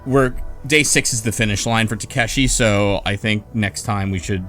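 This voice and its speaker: A man's voice: lowish voice, moderate pace, pushed and bright, soft background music. A man hosting a casual group chat, talking hesitantly with many fillers and restarts. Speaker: podcast host.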